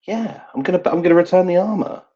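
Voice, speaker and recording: A man's voice: sits high, quick pace, mellow, slightly rough, pitched above the performer's own voice. A man voicing an evasive, wheedling knight in training who stammers and talks over-formally in a tabletop game. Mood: defensive. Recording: dull, slightly lo-fi recording.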